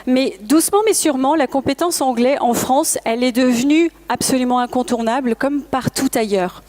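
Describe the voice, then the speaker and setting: A woman whose first language is English gives a motivational talk at a trade fair, with a voice a little higher than most. She speaks earnestly to the audience and often addresses them directly. Now and then she hesitates and corrects herself in mid-sentence. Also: normal speed, a little mellow. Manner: occasional self-corrections and hesitations. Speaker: conference speaker, language school founder. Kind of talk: presentation to an audience.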